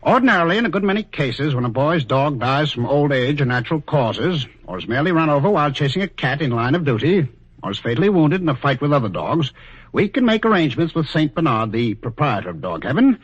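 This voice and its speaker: Fussy, higher-pitched radio-era bureaucrat. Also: male voice, big pitch swings, fast talker, breathy edge, no room sound. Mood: officious, firm. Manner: pedantic, bureaucratic. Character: officious, fussy male clerk of a celestial bureau. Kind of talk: 1940s radio acting. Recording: dull vintage 1940s radio recording.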